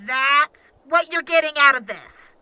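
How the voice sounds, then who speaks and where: A woman's voice: sits high, unhurried, exaggerated voice, low-quality recording. A woman playing a blunt, sarcastic and impatient traveller in a post-apocalyptic audio drama. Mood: sarcastic, exasperated. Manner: dry, exasperated, blunt. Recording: loud background noise, clicks.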